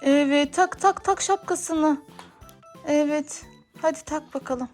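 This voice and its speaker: High-pitched woman in playful toy play, music behind. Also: slow pace, darkish tone. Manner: coaxing, encouraging child-directed talk. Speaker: mother playing toys with her child.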